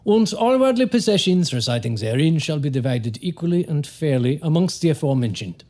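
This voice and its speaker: A man playing a courteous German lawyer in an audio drama, with a German accent and formal, sometimes halting phrasing. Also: on the high side, big pitch swings, darkish tone. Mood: formal, welcoming. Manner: formal, halting word searches.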